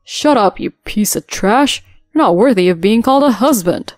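A woman's voice: medium pitch, expressive, normal speed, a little mellow, no echo, natural voice. Woman doing a stern older father-in-law, scolding, contemptuous, dry voice, faint music. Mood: furious.

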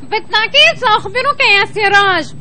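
A woman's voice: very high-pitched, fairly quick, pushed and bright, distant mic, slight background noise, full character voice. A woman voicing a sulky, aggressive, childish animal in a petulant children's cartoon dub. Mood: petulant, angry. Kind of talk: Arabic children's cartoon dubbing.

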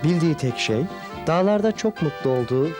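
A man narrating a children's cartoon in a scripted storytelling style with measured phrasing. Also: fairly high pitch, expressive, music in the background.

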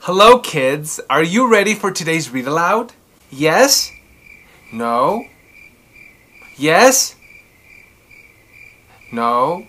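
A man's voice: fairly high pitch, big pitch swings, very slow, long pauses, pushed and bright. A man in his thirties hosting a children's story time, reading aloud in a theatrical, emphatic way. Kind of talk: expressive read-aloud for children. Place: small furnished room at home, indoors.

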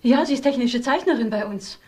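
Secretary , female actor: fairly high, anxious voice, radio crime drama. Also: brisk, warm tone, close to an everyday voice.

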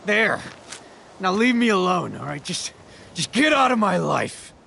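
An actor with an American accent playing a volatile, defensive dog owner in a video game, talking in a gruff, confrontational, foul-mouthed way. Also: male voice, on the low side, lively intonation, unhurried, slight background noise. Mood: pained, angry. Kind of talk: dramatic video game voice acting.

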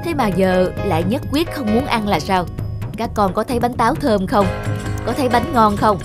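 A woman's voice: a little high, expressive, moderate pace, natural voice. Woman acting a firm, caring cartoon mother. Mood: gently persuasive. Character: firm, caring mother of two young daughters. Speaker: voice actor in an animated moral tale. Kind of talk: animated cartoon dubbing, clear instructive dialogue. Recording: music behind the voice.

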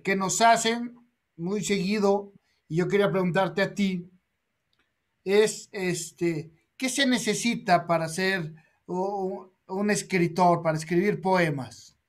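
A man's voice: high-pitched, slow pace. A man in his forties hosting a video call interview, asking questions with hesitations and giving frequent short assents. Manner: attentive, frequent "ajá" backchannels, hesitant questions. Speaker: host, interviewer. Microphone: webcam mic on video call.

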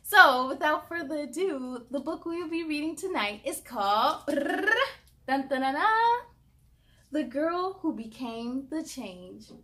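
A young American woman hosting a children's story time, reading aloud expressively with playful, animated delivery and friendly asides. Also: high-pitched, a little slow, no room sound. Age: young adult. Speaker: host of a children's read-aloud show.

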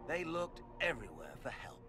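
A villainous noble mage from a video game. His voice is on the high side, drawling and pompous, full of smug mockery. Music plays clearly underneath. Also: big pitch swings, moderate pace. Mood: mocking, gloating. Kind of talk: dramatic game villain acting.

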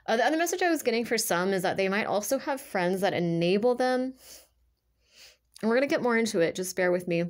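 A woman's voice: mid-range voice, animated, fast talker. A woman giving a casual tarot reading, with a stuffy, nasal voice from a sinus infection. She talks earnestly and rambles, full of "like" and restarts. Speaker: tarot reader. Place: indoors at a table with cards on purple cloth.

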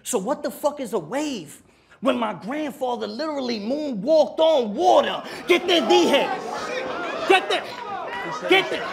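A man's voice: extremely high pitch, expressive, a little slow, gravelly, a crowd in the background. A man in his thirties performing battle rap a cappella on a club stage, taunting his opponent and repeating his lines, with Spanish slang mixed in. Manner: aggressive, taunting, repeats and shouts his bars. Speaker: battle rapper. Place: indoor club stage with a live crowd.

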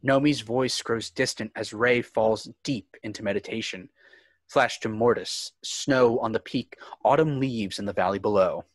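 A man's voice: fairly low pitch, moderate pace, dry recording. A man reading scripted screenplay narration, describing scenes and action. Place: indoors on a home video call.

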